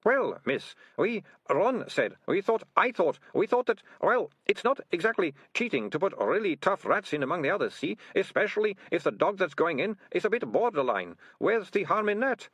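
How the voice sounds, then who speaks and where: A storybook rat-catcher, high-voiced and full of guilt. He whines and moans his colloquial excuses, stumbling over words in a husky, swooping voice, recorded dry. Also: big pitch swings, normal speed, hardly any pauses, fairly bright tone, dry recording, exaggerated voice. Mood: nervous, evasive. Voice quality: high-pitched moaning voice. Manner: stammering, colloquial, self-justifying. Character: panicky, guilty, whining male deputy rat-catcher.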